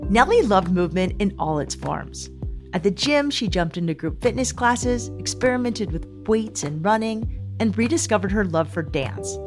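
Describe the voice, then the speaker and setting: A woman's voice: medium pitch, lively intonation, moderate pace, over music. A woman hosting a podcast, moving between scripted narration and interview questions. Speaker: podcast host.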